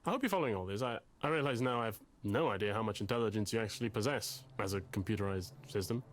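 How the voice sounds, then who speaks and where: A man's voice: fairly low pitch, fast talker, natural voice. An actor playing a pompous, anxious, lovesick male literature student in a video game, speaking in a verbose, formal and hesitant way. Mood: formal, uneasy. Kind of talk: visual novel game voice acting.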